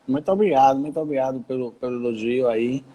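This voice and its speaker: A man from Salvador, a federal judge telling his career story in a relaxed, rambling way with many restarts, on a video call. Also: medium pitch, quick pace, poor audio. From Brazil, Salvador.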